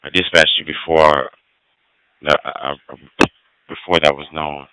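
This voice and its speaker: A man's voice: a bass voice, steady tone, a little slow, sharp tone, clean tone, dry recording, rough recording. A man dispatching fire and emergency units over two-way radio, procedural and repeating key details.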